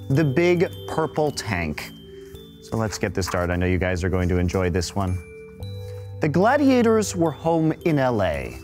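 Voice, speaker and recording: A man hosting and analyzing on a casual esports panel, with playful storytelling delivery. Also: medium pitch.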